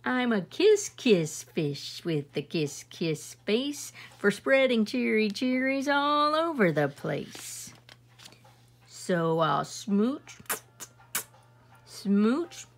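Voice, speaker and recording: Lady doing a mopey grumpy storybook fish guy, slow and a bit gravelly. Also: fairly high pitch, sing-song, faint music behind, heavily acted. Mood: overjoyed. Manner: mopey, resigned. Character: glum male fish, gloomy and sulky.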